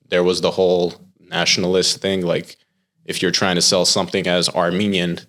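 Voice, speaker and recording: Young American man with a very low voice, relaxed and explanatory. He talks casually as a guest and fills his speech with "like" and "um.". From United States. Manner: relaxed, explanatory, with filler words and laughs. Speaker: chef and pitmaster, podcast guest. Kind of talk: casual interview talk.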